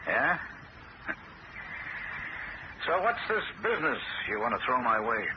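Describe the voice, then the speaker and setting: A man's voice: mid-range voice, sing-song, fairly quick, lightly acted. An actor playing an earnest, loyal man who narrates his own story and argues indignantly, stammering when upset, in a 1970s radio drama. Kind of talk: first-person radio drama narration and dialogue. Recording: muffled old radio broadcast with hiss.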